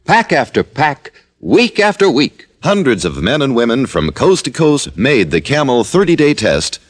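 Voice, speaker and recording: A man's voice: medium pitch, very animated, normal speed. An American man announcing and reading an ad in an emphatic, polished 1950s radio style. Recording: old radio broadcast with music.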